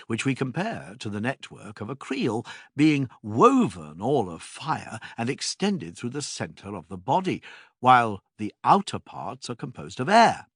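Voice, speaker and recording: A man reading a classical philosophy text as scripted narration, with measured, deliberate phrasing and emphatic pauses. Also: medium pitch, a bit bright, breathy edge, dry recording, clean studio sound.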